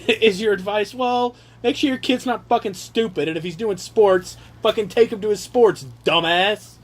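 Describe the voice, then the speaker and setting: A man casually reading aloud and riffing on the text with sarcastic, profane, animated asides, on an amateur home recording. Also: high-pitched, moderate pace. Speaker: reader riffing on a handbook aloud to his brothers. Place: casual room with brothers chiming in.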